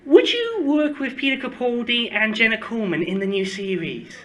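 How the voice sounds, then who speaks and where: A man's voice: very high-pitched, expressive, normal speed, a bit bright. A man doing a casual fan interview, eager and repeating himself as he hesitantly works towards each question.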